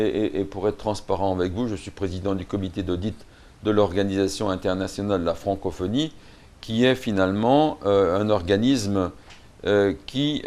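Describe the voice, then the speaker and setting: Brief: Belgian male expert on a TV interview, measured and hesitant, slightly low voice. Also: darkish tone. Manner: hesitant, many fillers. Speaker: guest expert.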